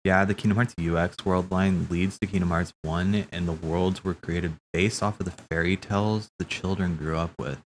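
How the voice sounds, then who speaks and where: A man streaming casual, rambling theory commentary, thinking aloud haltingly, correcting himself and swearing casually. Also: deep voice, steady tone.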